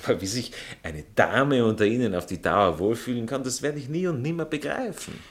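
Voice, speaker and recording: An actor playing a prim, jealous aristocratic fiancé with an Austrian accent, drawling and indignant in a satirical stage comedy. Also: male voice, medium pitch, fairly quick, lightly acted. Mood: condescending. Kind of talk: stage comedy acting in a radio play manner.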